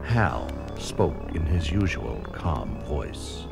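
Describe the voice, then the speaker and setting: A man's voice: rumbling low, big pitch swings, extremely slow, vocal fry. A man narrating a children's read-along story in a dramatic, scripted storytelling style. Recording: music under the voice.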